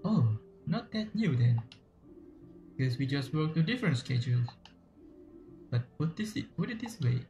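Brief: streamer reading walrus hustler, Indonesian accent, gravelly, faint music behind. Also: mid-range voice, very animated, warm tone. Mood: salesy. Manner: slow, halting reading with restarts. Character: street-smart walrus hustler, male, smooth-talking.

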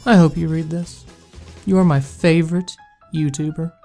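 A man's voice: fairly high pitch, fairly even intonation, moderate pace, gravelly, warm tone, faint music behind. Man acting as earnest, needy online commenter. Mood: pleading. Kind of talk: dramatic comedic comment reading.

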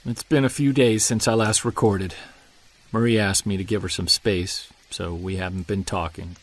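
A middle-aged man playing a brooding, obsessive Bigfoot investigator in an audio drama, speaking in a measured way. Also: fairly low pitch, steady tone, lightly acted. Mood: weary, grieving. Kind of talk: audio drama monologue and dialogue, confessional recorded journal.

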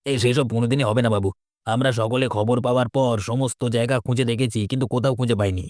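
Man acting a very fast, practical villager. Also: mid-range voice, fairly even intonation, a little mellow, no room sound, studio quality, lightly acted. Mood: supportive, urging. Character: practical, supportive male villager rallying his neighbours. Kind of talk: cartoon dialogue acting.

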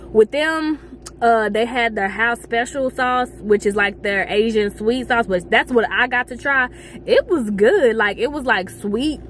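Young woman w/ telling stories in her car, dash cam, slight car noise. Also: on the high side. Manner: animated storytelling, talks with her hands. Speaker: vlogger. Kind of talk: casual car chat. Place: parked car, indoors. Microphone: camera on dashboard.

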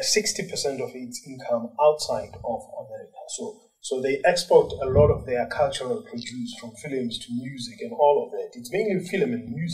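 A man from South Africa, a journalist and cultural commentator, answering at length in an animated way with many fillers and restarts. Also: mid-range voice, distant mic, low-quality recording. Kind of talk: interview answers.

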